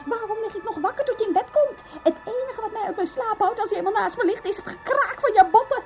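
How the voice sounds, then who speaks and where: A woman's voice: very high voice, fast, muffled recording, soft background music. An actress playing a bitter, frustrated wife who mockingly taunts her husband, in a theatrical Dutch radio play style. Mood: taunting. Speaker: radio drama actor.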